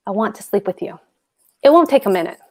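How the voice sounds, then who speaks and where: A woman's voice: mid-range voice, lively intonation, fast talker, natural voice. A woman reading a smug, philandering married landlord in a play, speaking in a blunt, coaxing, suggestive way. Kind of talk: play table read.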